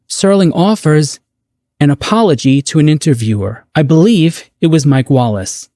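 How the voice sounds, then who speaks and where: Higher-pitched, mellow, earnest man giving commentary. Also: no echo, studio quality. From United States. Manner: measured, articulate, earnest. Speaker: podcaster giving recorded commentary. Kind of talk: prepared, thoughtful commentary.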